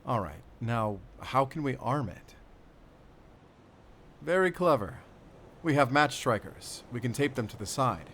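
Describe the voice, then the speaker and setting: A man playing a battle-worn, calm and wry werewolf hunter in an audio roleplay, speaking deliberately in short, paused phrases with quiet tenderness. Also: mid-range voice, a little hiss or hum. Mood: focused. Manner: measured, deliberate, pausing between short phrases. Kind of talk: cinematic audio roleplay acting.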